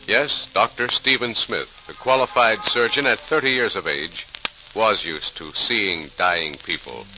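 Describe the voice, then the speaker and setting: A man's voice: fairly low pitch, measured pace. A man narrating an old-time radio program as its announcer, in a formal, dramatic scripted style. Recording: noisy, hissy old radio recording.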